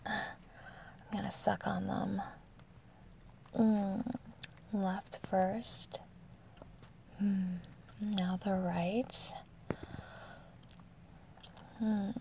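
A woman playing an amorous, eager adult lover in an intimate audio roleplay, speaking to the listener in a breathy, whispery voice. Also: mid-range voice, flat delivery, background noise. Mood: seductive, hushed. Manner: slow, intimate, addressing the listener directly.

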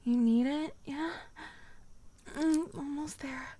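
A woman performing a dominant, teasing audio roleplay, speaking in soft whispers broken by low murmurs. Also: fairly even intonation, slow pace.

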